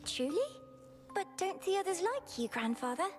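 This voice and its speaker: An actress playing a young goddess child in a video game, eager, innocent and teasing. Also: female voice, very high voice, lively intonation, moderate pace. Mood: innocent, fond. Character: little goddess girl, bright, fond and playful. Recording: music behind the voice. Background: game music.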